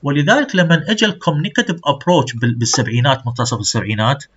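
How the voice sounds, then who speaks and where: Guy, lecturing in a college class, lots of pitch movement, muffled lo-fi sound. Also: very animated, rapid-fire, fairly bright tone, slightly rough. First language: Arabic. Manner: explains terms and checks with students. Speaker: university lecturer. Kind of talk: lecture, switching between English and Arabic. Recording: dull lo-fi recording.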